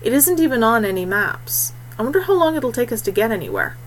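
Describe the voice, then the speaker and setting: A woman's voice: a little high, big pitch swings, fairly quick, a little hiss or hum. A woman voicing a responsible young male fort heir in an audiobook, in a conversational way. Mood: musing.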